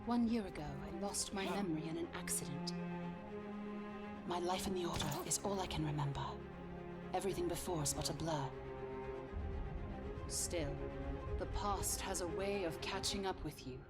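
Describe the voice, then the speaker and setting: A woman's voice: on the low side, moderate pace, pauses often, natural voice. Actress as a wary woman monster hunter over music. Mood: solemn. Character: Sylvia, young female monster hunter, brave and wary. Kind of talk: video game voice acting. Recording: music behind the voice.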